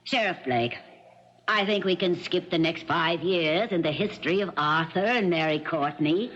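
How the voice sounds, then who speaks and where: An actress playing a brisk, authoritative female attorney formally questioning a witness in a 1970s radio drama. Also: on the low side, fairly bright tone, a little hiss or hum, close to an everyday voice. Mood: stern, formal. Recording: dull lo-fi 1970s radio recording.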